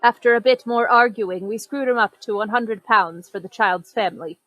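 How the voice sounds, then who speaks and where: A woman playing a discreet Victorian gentleman, telling a tale formally and emphatically in a gothic radio play. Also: on the high side, normal speed, no room sound, lo-fi sound, close to an everyday voice. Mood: recounting, intrigued. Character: indignant, discreet Victorian gentleman recounting a strange encounter.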